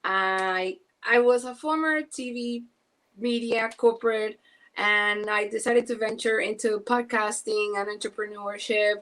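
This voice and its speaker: A woman's voice: medium pitch, fairly even intonation, a little slow, a bit bright, poor audio. A woman from Panama introducing herself as a podcaster, earnest and reflective. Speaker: podcaster guest. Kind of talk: casual self-introduction.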